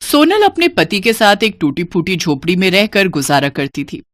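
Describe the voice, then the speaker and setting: A woman's voice: mid-range voice, sing-song, normal speed. A woman narrating a scripted cartoon story as the storyteller.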